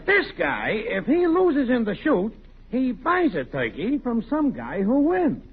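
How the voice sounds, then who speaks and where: Cocksure needling neighbor, wildly animated pitch, boastful, vintage radio comedy, muffled recording. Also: male voice, a little slow, exaggerated voice. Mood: slyly coaxing. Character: bragging, sly neighbor, cocksure wisecracking family man. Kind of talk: 1940s radio comedy acting. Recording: muffled old-time radio recording, faint hiss.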